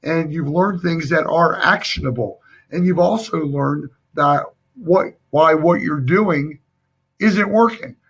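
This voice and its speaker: A man with a hoarse, husky voice that sits a little high. He coaches viewers through a live sales training with emphatic, direct delivery. The audio is dull and lo-fi. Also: slow pace.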